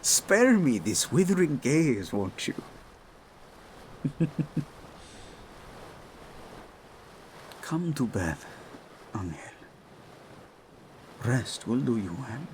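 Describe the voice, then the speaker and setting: A man's voice: mid-range voice, a little hiss or hum. An actor playing a devoted, teasing king and husband in an intimate romantic audio roleplay, speaking tender endearments. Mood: playful, teasing. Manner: tender, playful, unhurried endearments.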